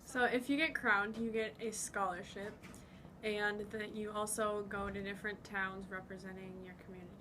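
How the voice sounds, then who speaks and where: A woman's voice: mid-range voice, level tone, normal speed, noisy background. A teenage girl in a local pageant, talking casually with fillers.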